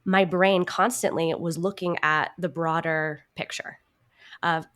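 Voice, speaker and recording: A woman's voice: fairly low pitch, steady tone, unhurried, a bit bright. A woman answering interview questions conversationally, in a reflective and earnest manner. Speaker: podcast guest, actor and writer.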